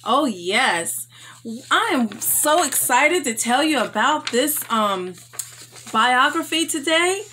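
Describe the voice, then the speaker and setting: Female children's story host, higher-pitched, expressive and emphatic, unhurried delivery. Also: a little hiss or hum, low-quality recording. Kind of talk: read-aloud storytelling for children.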